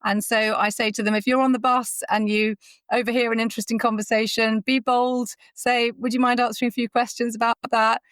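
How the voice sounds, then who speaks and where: A woman's voice: on the high side, level tone, brisk, a bit bright, clean tone, no echo. A woman speaking as an interview guest in an articulate, enthusiastic, conversational way. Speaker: interview guest, founder of an audio training platform.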